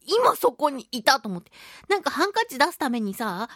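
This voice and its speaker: A woman's voice: high-pitched, big pitch swings, moderate pace, bright, forceful tone, no room sound, studio quality. A woman hosting a radio show, telling stories casually and animatedly, acting out quoted remarks. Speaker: radio show host, voice actress. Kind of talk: casual radio talk, storytelling.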